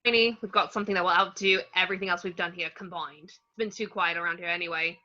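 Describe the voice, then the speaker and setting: A woman plays a mischievous teenage boy in an amateur fantasy drama read. The delivery is bright, cheeky and forceful, on a slightly lo-fi microphone. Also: on the low side, fairly even intonation, fast talker. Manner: cheeky, breezy. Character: cocky, mischievous teenage twin brothers. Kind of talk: amateur online screenplay table read. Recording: slightly dull lo-fi home microphone.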